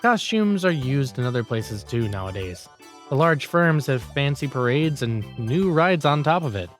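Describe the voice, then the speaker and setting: A man acts out a lazy male office senior in a manga cartoon. He is blunt and critical, explaining and grumbling with animated pitch movement, with music behind him. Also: normal speed, natural voice. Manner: matter-of-fact, grumbling, critical. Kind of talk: manga dialogue voice acting.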